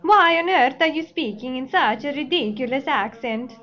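A woman's voice: high voice, expressive, normal speed, fairly bright tone. A woman playing a stern, pompous male Pope in a comedy sketch, speaking formally. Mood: haughty. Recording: dull, lo-fi audio.

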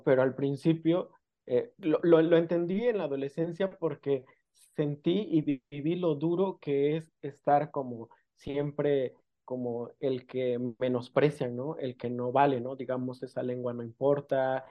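A man from the coast of Oaxaca whose first language is Amuzgo speaks as a guest in a relaxed interview. He talks haltingly, with frequent fillers and little tag questions, at an even middle pitch. The sound is dull and lo-fi. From Mexico, Oaxaca coast. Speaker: guest, documentary filmmaker. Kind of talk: casual interview, personal storytelling.

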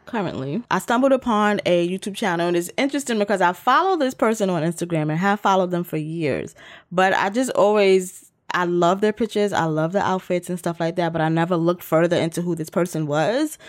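A woman's voice: fairly low pitch, brisk, no room sound, clean studio sound. A woman co-hosting a podcast, chatting in a slangy, informal, rambling way. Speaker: podcast co-host. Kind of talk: casual chat.

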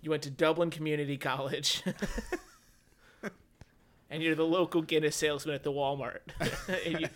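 Man, podcast co-host, bright tone, slightly high voice, jokey banter. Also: fairly even intonation, moderate pace, long pauses. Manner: jokey, riffing, laughs often. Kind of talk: casual comedic chat.

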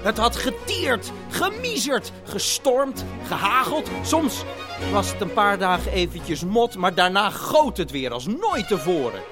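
An actor narrating a family radio play as an excitable storyteller, with dramatic, halting build-ups. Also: male voice, high voice. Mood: brooding, vivid. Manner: animated, halting build-ups with self-corrections. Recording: long hall reverb, music behind. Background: music.

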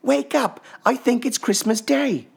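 A man voicing a very little, impatient boy bear cub in an excitable, childlike way for a storybook. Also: very high-pitched, measured pace, vocal fry, breathy edge, pitched above the performer's own voice, exaggerated voice. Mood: eager, excited.

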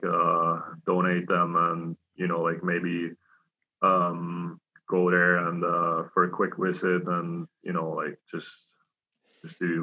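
A man's voice: deep voice, bright, forceful tone. A young man from Switzerland, a hockey player, answering interview questions casually with many fillers and restarts. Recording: dull lo-fi sound.

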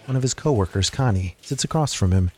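A man's voice: lowish voice, lively intonation, fast talker, darkish tone, a little hiss or hum. A man reading scripted narration for an audio drama. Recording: faint guitar music behind.

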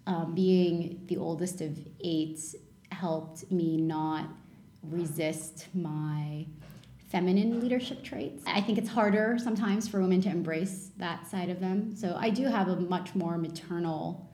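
Low-voiced woman in an echoey room. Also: unhurried, warm tone, a little hiss or hum. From Lebanon, raised in Canada. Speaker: startup founder and CEO. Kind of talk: interview conversation.

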